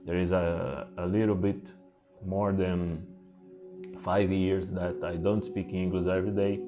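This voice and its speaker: A man whose first language is Portuguese, speaking English with a Portuguese accent as a spiritual teacher, halting and hesitant with many fillers and restarts. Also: sits low, little pitch movement. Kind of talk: unscripted spiritual talk to camera. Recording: muffled, with singing bowl music behind.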